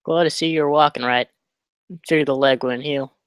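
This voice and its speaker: A man acting a remorseful, awkward ex-prisoner in a film script read, with a halting, apologetic way of talking. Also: on the high side, fairly even intonation, clear, resonant tone, no echo, close to an everyday voice. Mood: awkward, tentative.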